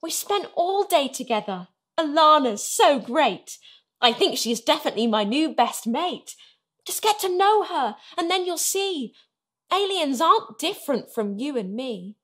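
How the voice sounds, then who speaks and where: Excitable young girl for a children's story, acted by a woman: very high, swooping voice, eager and earnest. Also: unhurried, exaggerated voice. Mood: pleading. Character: excitable, warm-hearted young human girl, Sarah.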